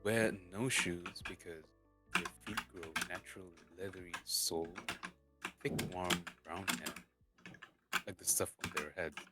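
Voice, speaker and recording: A man reading a storybook aloud in a halting way, stumbling and repeating words as he goes. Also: sits low. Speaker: streamer reading a novel aloud. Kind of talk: dramatic storybook reading, unrehearsed. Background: soft lofi guitar music.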